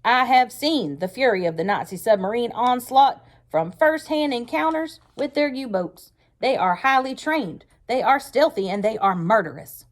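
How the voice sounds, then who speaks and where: A woman's voice: on the high side, animated, moderate pace, few pauses, pitched above the performer's own voice, close to an everyday voice. A woman reading a storybook aloud, voicing a fierce, hard-driving male wartime Navy commanding officer with stern, emphatic delivery. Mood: grave, urgent. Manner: stern, forceful, emphatic speech-making. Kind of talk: read-aloud storybook character voice.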